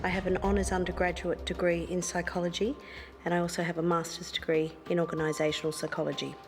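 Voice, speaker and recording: A woman in her forties speaks with confidence and assurance. Her voice is slightly low. Soft music plays behind her. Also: little pitch movement, fast talker. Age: 40s. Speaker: game show contestant.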